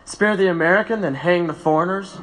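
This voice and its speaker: An actor playing a principled condemned prisoner who refuses to ask for mercy, firm and commanding. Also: male voice, high-pitched, brisk, a bit bright, soft background music, poor audio. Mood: resolute. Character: principled condemned male radical, stubborn.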